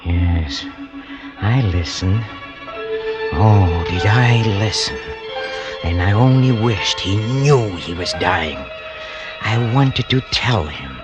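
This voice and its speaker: Slow, low-voiced, brooding killer. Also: male voice, animated. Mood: seething menace. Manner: brooding, halting, repeats himself with menace. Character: bitter, cold-blooded hired killer confessing his crimes. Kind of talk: 1940s-50s radio drama acting. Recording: old radio broadcast with music underneath.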